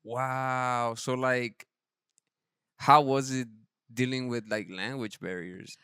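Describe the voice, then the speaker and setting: A man's voice: medium pitch, steady tone, slow pace, sharp tone, clean tone, no echo, studio quality. A man hosting a podcast chat, talking informally with slang, some swearing and effusive reactions to his guest. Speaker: podcast host. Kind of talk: casual chat interview.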